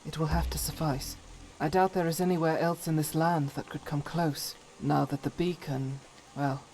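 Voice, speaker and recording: An actress playing a devout, troubled warrior woman in a fantasy game, solemn and earnest with halting delivery. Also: low-pitched, fairly even intonation, darkish tone, poor audio. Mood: resigned. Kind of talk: dramatic fantasy game voice acting.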